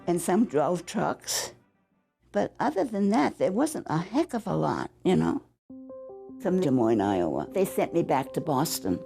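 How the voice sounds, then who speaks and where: A woman's voice: deep voice, vocal fry, dark tone. A woman in her nineties with a New England accent, telling her own stories in a chatty interview. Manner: chatty storytelling with asides. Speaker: veteran interviewee. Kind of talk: reminiscing in an interview.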